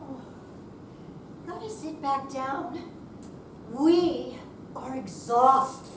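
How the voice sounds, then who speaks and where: A woman's voice: sits high, drawn-out words. Woman reading as stubborn older lady, echoey and distant. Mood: grumbling, exhausted. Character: stubborn, tired older woman recovering with a cast. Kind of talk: amateur readers theater stage reading. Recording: roomy, distant, noisy live room recording.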